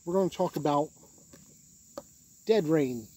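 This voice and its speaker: A man hosting a tabletop game review video, explaining rules conversationally in a husky voice. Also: fairly high pitch, unhurried, darkish tone, a little hiss or hum.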